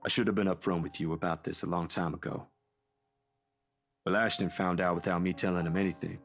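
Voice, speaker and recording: A man's voice: low-pitched, flat delivery, brisk, creaky, soft background music. An actor playing a troubled young man in a visual novel game, hesitant, earnest and confiding as he unburdens a long-kept secret. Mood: reluctant, earnest.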